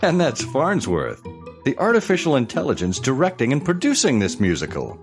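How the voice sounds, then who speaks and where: An actor playing a pompous, excitable ghost of an old-time explosives salesman, narrating an audio musical in grandiose, old-fashioned language. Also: medium pitch, moderate pace, soft background music. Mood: grand, jovial.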